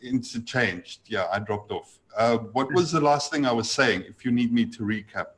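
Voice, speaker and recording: Halting man on a video call. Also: medium pitch. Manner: halting, many fillers and restarts. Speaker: guest trader. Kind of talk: casual video call chat.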